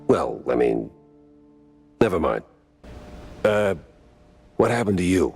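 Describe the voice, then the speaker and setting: A man's voice: sits low, big pitch swings, takes it slowly, darkish tone, husky, faint music behind, heavily acted. A man playing a world-weary, wisecracking mouse private detective in a noir cartoon game, with a dry, sardonic delivery.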